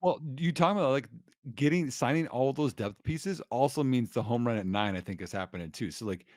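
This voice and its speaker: A man co-hosting a casual sports podcast, hyped and quick to agree, often restarting his sentences. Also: mid-range voice, darkish tone, no room sound, clean studio sound. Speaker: podcast co-host.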